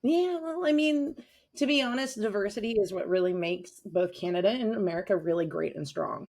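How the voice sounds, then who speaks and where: A woman's voice: medium pitch, a little fast, a little mellow. A talkative, enthusiastic woman chatting casually as a guest on a podcast. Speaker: podcast guest, avid reader.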